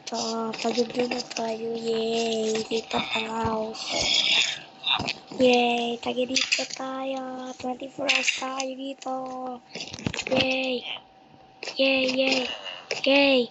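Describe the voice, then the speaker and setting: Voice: mid-range voice, completely flat delivery, drawn-out words, pauses often, lo-fi sound. A child with a Filipino accent chatting casually while playing a game, role-playing and repeating short phrases to themself. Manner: repetitive, talking to self in short phrases. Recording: very noisy home recording with clicks.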